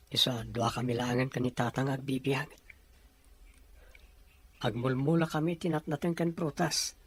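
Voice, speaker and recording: A man's voice: mid-range voice, a bit bright, husky. A man playing a shy, humble young farmer in love, speaking earnestly and respectfully with a Filipino Ilocano accent. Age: young adult. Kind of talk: radio drama dialogue.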